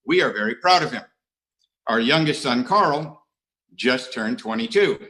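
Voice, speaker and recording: A middle-aged American man tells his recovery story with a dry, self-mocking wit. His voice is bright and forward, and his pitch sits in an ordinary middle range. He pauses often on "uh" between wry asides. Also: lively intonation, poor audio. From United States. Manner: self-mocking, dry humor, many uhs. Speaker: AA speaker. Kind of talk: recovery share talk. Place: online conference.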